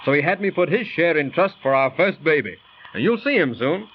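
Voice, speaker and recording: An actor playing a bold, hearty young husband who takes charge, with commanding and exclamatory delivery in a 1930s radio drama. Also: male voice, a little high, lively intonation, brisk. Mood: cheerful. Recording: muffled, noisy 1930s radio recording.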